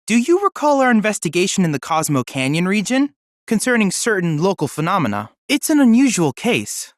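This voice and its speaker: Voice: mid-range voice, very animated, a little fast, no room sound, clean studio sound, natural voice. An actor voicing an earnest, brainy boy-like android assistant in a video game, youthful-sounding and speaking in formal, precise, bookish phrases. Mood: helpful, eager. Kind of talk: video game voice acting, scripted dialogue.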